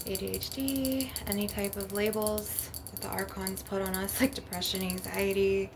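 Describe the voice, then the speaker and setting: A woman's voice: mid-range voice, little pitch movement, moderate pace. A woman hosting a casual spiritual ASMR monologue to viewers, talking in a rambling, conversational way. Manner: rambling, with frequent "like" and "you know". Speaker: ASMR channel host. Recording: loud background noise with tapping and clicking. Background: crystal and glass tapping, clicks, background noise.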